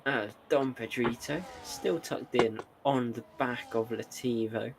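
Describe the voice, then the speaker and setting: A man's voice: mid-range voice, fairly even intonation, unhurried, gravelly, a little hiss or hum. A tired man with a sore throat doing live esports race commentary, dry and wry between calls. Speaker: sim racing commentator.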